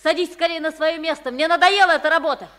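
High, bright-voiced earnest young boy. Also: fairly even intonation, fast talker. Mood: excited, earnest. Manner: theatrical, earnest. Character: good-hearted pauper boy posing as king. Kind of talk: Soviet radio play acting.

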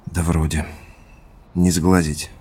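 Very deep-voiced earnest man. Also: level tone, takes it slowly, mellow, slight background noise. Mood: pensive, relaxed. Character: earnest man curious about his family history. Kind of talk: audio drama dialogue.

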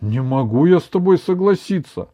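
A cheeky, stubborn young grandson from a storybook, played by a man, raised in pitch and very animated. He argues emphatically and defiantly, with affection underneath, on a slightly muffled recording. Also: unhurried. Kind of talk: children's story reading.

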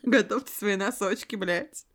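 A woman's voice: medium pitch, a little slow. A woman co-hosting a podcast, chatting casually between reading a story aloud, with a giggly, theatrical, foul-mouthed manner. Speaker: podcast co-host.

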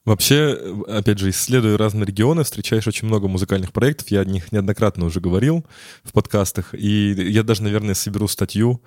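Man, relaxed reflective co-host, lowish voice, dry close sound. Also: fast, no echo. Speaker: podcast co-host. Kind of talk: casual chat.

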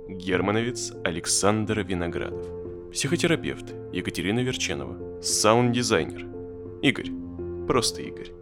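Lowish-voiced man reading credits over music. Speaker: credits announcer. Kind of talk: scripted credits read-out.

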